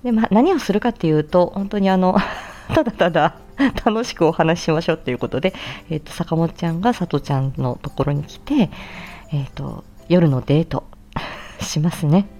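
A woman's voice: on the low side, a little fast, dark tone. A woman host giving a casual, chatty morning announcement in a cheerful, giggly and informal manner. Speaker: audio channel host, speech therapist and amateur voice actor. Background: background music.

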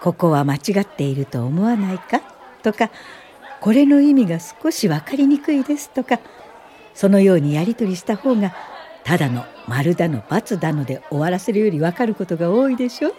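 An actress playing a gentle older woman professor of social work in a radio drama, speaking softly, politely and without hurry in a warm, encouraging way. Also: mid-range voice, very animated, lightly acted. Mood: kindly explaining. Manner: soft, polite, unhurried, old-fashioned feminine Japanese. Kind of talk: radio drama acting and reminiscing narration.

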